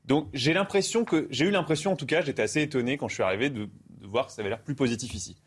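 A man's voice: medium pitch, breathy edge. A man from Canada speaking as a studio debate guest, insistent as he presses his point. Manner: insistent, quick-talking. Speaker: panel guest.

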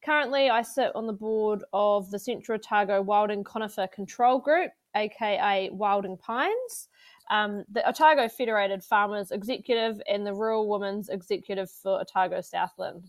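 A woman's voice: medium pitch, normal speed, no echo. A woman, co-hosting a casual podcast and chatting with many fillers. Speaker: podcast co-host.

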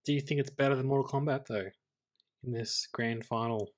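A man's voice: mid-range voice, steady tone, brisk. A man co-hosting a film podcast in an easygoing, conversational way. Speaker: podcast co-host. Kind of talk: casual film podcast chat.

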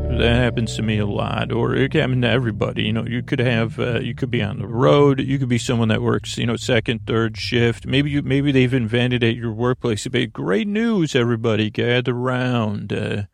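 A man with a creaky, gravelly voice hosting a sleep podcast, meandering and halting through tangents and filler words. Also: mid-range voice. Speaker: podcast host. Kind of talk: rambling bedtime sleep podcast monologue.

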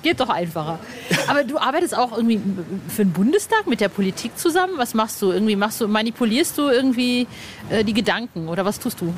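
Blunt woman, slightly high voice, noisy background. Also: animated, fast talker. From Ostwestfalen, Germany. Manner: blunt, informal. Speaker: podcast interviewer. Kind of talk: casual chat. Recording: clear ambient background noise.

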